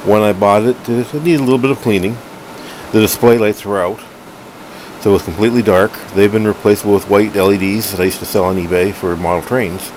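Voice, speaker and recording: A middle-aged man giving a casual, unscripted hobbyist demo at home, halting and rambling as he corrects himself. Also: lowish voice. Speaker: hobbyist collector reviewing a radio. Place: room at home, indoors. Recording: noisy room with steady background hum.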